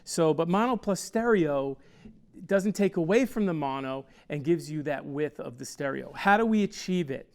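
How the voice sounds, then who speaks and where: A man in his sixties, an audio designer, explaining a loudspeaker system in a technical, rambling way with fillers, on a lapel mic in a small studio. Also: a little high, animated, normal speed. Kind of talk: product explainer. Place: small studio with wooden backdrop.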